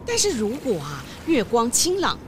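Animated, high-voiced woman storyteller. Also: a little hiss or hum. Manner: expressive, with drawn-out sentence particles. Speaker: narrator. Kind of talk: animated storytelling for young children.

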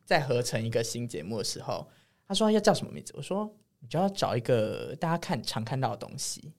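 A man's voice: medium pitch, very animated, brisk, gravelly, a little mellow. A man with a Taiwanese Mandarin accent chatting casually as a podcast co-host. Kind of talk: casual chat.

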